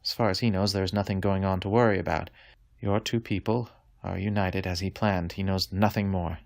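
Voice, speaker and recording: Coerced male clerk for sci-fi audio drama, acted by a man: low, gravelly, subdued, submissive. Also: steady tone, moderate pace, no room sound, studio quality. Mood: subdued, fearful. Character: meek, fearful male spaceport desk worker under coercion.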